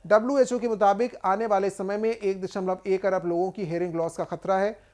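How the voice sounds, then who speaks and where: A man's voice: high voice, steady tone, a little fast, darkish tone. A man, reading a scripted news report as an anchor.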